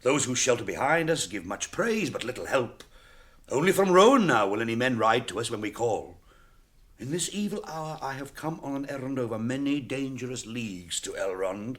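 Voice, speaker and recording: A man's voice: mid-range voice, sing-song, moderate pace, a bit bright. An actor playing a proud, embattled warrior nobleman, declaiming emphatically in a radio drama. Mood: proud, urgent.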